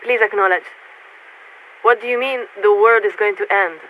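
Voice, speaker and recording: An actress playing a formal, detached military warship radio officer in a video game, speaking in clipped official procedure through a radio filter. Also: female voice, mid-range voice, normal speed. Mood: formal, firm. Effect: radio transmission filter. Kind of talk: scripted video game voice acting. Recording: narrow, tinny radio link with static.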